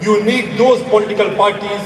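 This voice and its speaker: A middle-aged man from Afghanistan giving an impassioned stage speech at an outdoor rally. He is emphatic, rises to shouts and repeats words for force. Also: high voice, fairly even intonation, a little fast, crowd noise. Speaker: rally speaker. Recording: echoey PA heard from far off.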